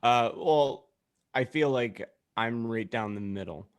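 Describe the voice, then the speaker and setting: A man's voice: mid-range voice, slow pace, no echo, clean studio sound. A man co-hosting a casual podcast, talking in a wry, teasing, joking way. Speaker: podcast co-host. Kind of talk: casual group banter.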